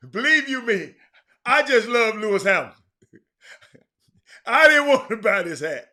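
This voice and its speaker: A man's voice: sits high, sharp tone. An American man hosting a casual sports livestream, chatting to viewers in a folksy way and repeating phrases for emphasis. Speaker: sports talk show host.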